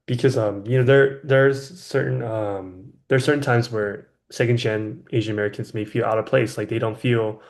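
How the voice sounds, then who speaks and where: A man's voice: mid-range voice, fairly even intonation, normal speed, vocal fry, hardly any pauses. A young man from California in his twenties, hosting a casual podcast chat in a friendly way, with lots of ums and you knows. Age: 20s. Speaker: podcast host.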